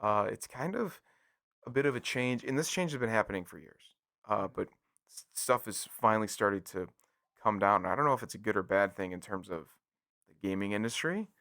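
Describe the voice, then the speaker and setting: A young adult man chatting casually as a podcast co-host, with an animated, informal storytelling manner. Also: lowish voice. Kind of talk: casual gaming podcast chat.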